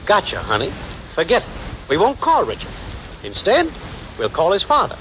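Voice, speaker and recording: Cocky schemer, wildly swooping delivery. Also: male voice, sits high, wildly swooping pitch, moderate pace. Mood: breezy, scheming. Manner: wisecracking, slangy, self-assured. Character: cocky, scheming deadbeat boyfriend, smooth-talking small-time operator. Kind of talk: 1940s radio comedy acting. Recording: dull, very noisy 1940s radio recording.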